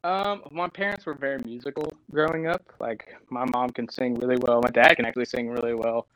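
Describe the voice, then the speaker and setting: A young man from Tennessee, a lead singer telling stories in a casual interview, animated and laughing often. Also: mid-range voice, fairly quick, no echo, low-quality recording.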